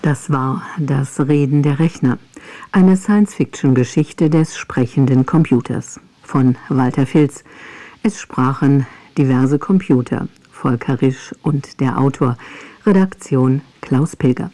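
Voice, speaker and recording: A woman's voice: very deep voice, slow pace, dark tone, no echo. A woman reading a scripted closing announcement as a radio announcer.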